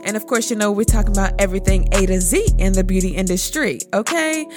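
A woman's voice: medium pitch, fast, music in the background. A woman in her twenties hosting a beauty podcast, bubbly and full of slang as she hypes up her guest. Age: 20s. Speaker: podcast host. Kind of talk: upbeat casual podcast chat.

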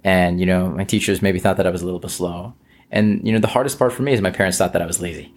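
Young man from Israel, Hebrew native, low-ish voice, earnest interview guest. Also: fairly even intonation, quick pace, slight background noise. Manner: earnest, personal storytelling with fillers. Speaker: guest, company founder. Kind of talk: casual interview.

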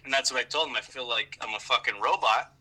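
Very bright-voiced American man chatting casually. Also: medium pitch, fast talker, slightly rough, low-quality recording. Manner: rambling, wry, self-deprecating. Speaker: golf vlogger and amateur golfer. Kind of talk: casual phone chat, profane.

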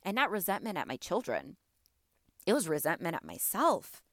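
A mother hosting a motivational podcast, her voice a little raspy from allergies, talking earnestly and encouragingly and asking her listeners question after question. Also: fairly low pitch, wildly swooping pitch, moderate pace, no room sound, studio quality. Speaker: podcast host.

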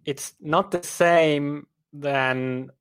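Slow, halting Swiss German man. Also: fairly high pitch, steady tone, fairly bright tone, clean tone. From Switzerland. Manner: halting, with frequent restarts and "right?" tags. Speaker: podcast guest. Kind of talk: casual interview chat.

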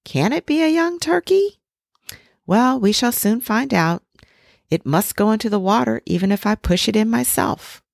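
A woman's voice: a little high, expressive, moderate pace, vocal fry, no room sound, studio quality, voice pushed up. A woman doing a fussing mother duck. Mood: puzzled. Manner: fussing, gently instructive. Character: mother duck, weary but proud and protective. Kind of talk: storybook reading.

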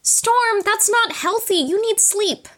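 A woman's voice: very high-pitched, takes it slowly, a bit bright, full character voice. A woman in an audio drama voicing a chatty, earnest young female patient. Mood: concerned.

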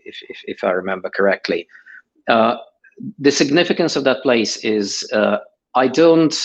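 A man's voice: lowish voice, low-quality recording. A middle-aged man giving interview answers in a hesitant way, with many fillers and restarts. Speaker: guest.

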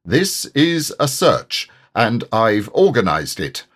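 Man voicing a bossy, self-important rabbit, lively pitch. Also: exaggerated voice. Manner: important, brisk, carelessly dismissive. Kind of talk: children's storybook character voice.

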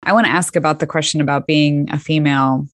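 A woman's voice: sits low, steady tone, quick pace, dry recording. A middle-aged woman hosting a show, asking questions in a casual, affirming way. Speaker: podcast host. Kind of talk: conversational interviewing.

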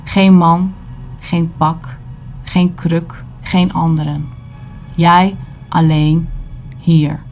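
Woman, slow deliberate spoken-word narration, lowish voice, dramatic phrasing, music behind. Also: little pitch movement, vocal fry, a little mellow. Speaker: poet performing own audio play. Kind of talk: literary spoken-word narration in second person.

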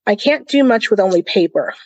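A defiant young woman locked in a cell, read out by a woman, speaks in a medium-pitched voice. She is sardonic and snappish, a clever forger from a fantasy storybook. The sound is dry. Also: lively intonation, a little fast, a little mellow, no echo, dull audio. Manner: growling, snappish.

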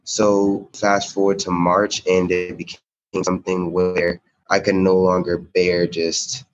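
A man's voice: deep voice, completely flat delivery, a little slow, highs cut off. A young American man in his late teens, a student giving an earnest, reflective personal account in conversation. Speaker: student, podcast guest.

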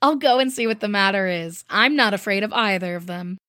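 A woman's voice: mid-range voice, bright, forceful tone, close to an everyday voice. A woman reading aloud, voicing a frank, spirited young woman who coaxes and scolds a friend. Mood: eager. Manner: coaxing, brisk.

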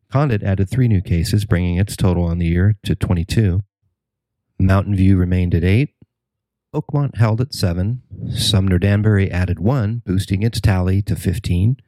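A man's voice: low voice, mellow, dry recording, poor audio. An American man reading a scripted local news report as the narrator.

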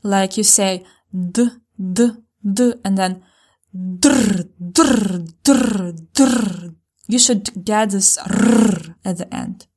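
A woman with a Ukrainian accent teaches a podcast language lesson. She voices each example word slowly and clearly so learners can copy it. Her voice sits at an ordinary middle pitch for a woman. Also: a little mellow. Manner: clear, slow model pronunciations.

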